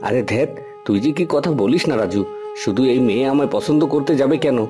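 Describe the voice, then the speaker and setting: A man's voice: mid-range voice, moderate pace, over music, lightly acted. An actor playing a vain, contemptuous young village man in a fairy-tale cartoon, boastful and disdainful. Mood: smug.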